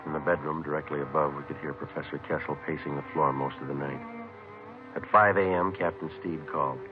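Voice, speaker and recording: A man's voice: a bass voice, fairly even intonation, brisk. An actor with an American accent playing a terse police detective, narrating his case in clipped, matter-of-fact 1950s radio style. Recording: tinny vintage radio recording, music.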